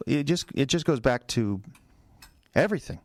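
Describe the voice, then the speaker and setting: An American man acting in a radio drama as an anxious, defensive husband who speaks haltingly and repeats his words. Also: mid-range voice, lively intonation, no echo, close to an everyday voice. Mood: anxious, rambling.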